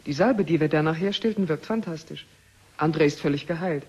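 An actress playing a principled, proud woman scientist, speaking in a measured, formal way in a radio drama. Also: sits low, darkish tone, husky, muffled recording. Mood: grave, troubled. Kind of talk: 1970 German radio drama acting.

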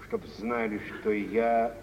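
An actor playing a wealthy middle-aged merchant, blunt and condescending, in vintage Russian stage style for radio. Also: male voice, on the low side, expressive, slow, vocal fry, full character voice. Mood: guarded, insinuating. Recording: dull, noisy vintage radio play recording.

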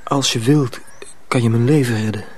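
A man's voice: medium pitch, moderate pace, darkish tone, close to an everyday voice. An actor playing an earnest young man in danger, speaking intimately in a radio drama. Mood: earnest, urgent.

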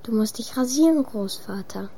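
A woman voicing an earnest, curious small boy with a husky voice in a literary audio drama. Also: a little high, animated, normal speed, mellow, a little hiss or hum. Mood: insistent, affectionate.

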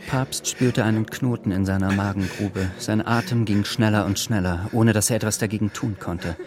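A man's voice: fairly low pitch, steady tone, moderate pace, crowd noise. A man narrating a literary radio drama from a script. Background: music.

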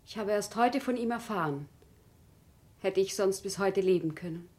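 Actress as husky, fervent humble wife. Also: female voice, medium pitch, moderate pace, a little mellow, lightly acted. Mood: anguished. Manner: earnest, solemn, formal stage diction. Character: humble, fervent commoner wife pleading for an innocent prisoner. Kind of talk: classic German radio play acting.

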